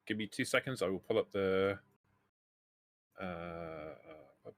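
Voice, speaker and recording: Low-voiced man running a tabletop game. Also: unhurried, no room sound. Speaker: game master. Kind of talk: casual talk running a tabletop roleplaying game.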